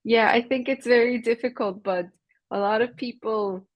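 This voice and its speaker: A woman's voice: on the high side, steady tone, moderate pace, dry recording, lo-fi sound. A young American woman tutoring English in casual conversation, friendly, asking questions and laughing often. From USA. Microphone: video call.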